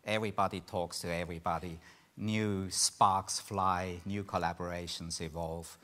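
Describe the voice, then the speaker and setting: An older man with a Swiss accent presenting on a conference panel, measured and full of ums and uhs. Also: low-pitched, level tone, sharp tone. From Switzerland. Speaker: panelist, institute director. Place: conference hall stage.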